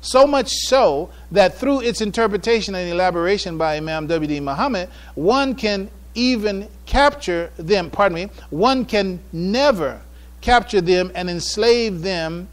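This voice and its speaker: A man with an American accent speaks in a notably high register. He delivers a prepared lecture in formal, deliberate oratory, leaning firmly on key phrases. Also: lively intonation. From United States. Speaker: speaker giving a public address. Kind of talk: prepared religious and historical lecture read from notes.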